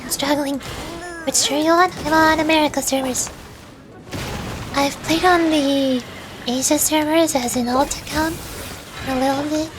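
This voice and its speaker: A woman's voice: sits high, steady tone, normal speed, long pauses, background noise. A woman doing casual live game commentary and chatting with viewers in a playful, self-deprecating way. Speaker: VTuber game streamer. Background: game music.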